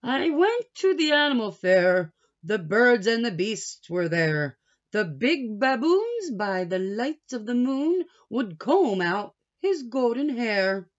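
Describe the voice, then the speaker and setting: Casting: animated storybook grandfather, voiced by a woman, playful and encouraging with a grandchild, slightly muffled recording. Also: on the high side, takes it slowly, voice pushed up. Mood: playful reciting. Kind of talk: children's storybook read-aloud.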